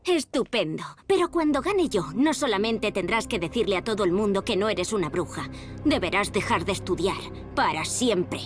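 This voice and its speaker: A woman's voice: medium pitch, animated, moderate pace, close to an everyday voice. A woman voicing a haughty, prickly teenage witch rival with a Castilian Spanish accent in a cartoon dub. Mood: smug challenge. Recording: music behind the voice.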